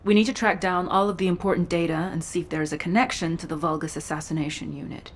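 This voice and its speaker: Guarded sci-fi game commander played by a woman: low female voice, clipped, authoritative, slightly off-mic. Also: fairly even intonation, fast, distant mic, lightly acted. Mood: brisk, resolute. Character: driven, guarded female cyborg commander, brisk and resolute. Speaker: military commander character in a video game. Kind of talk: scripted game dialogue.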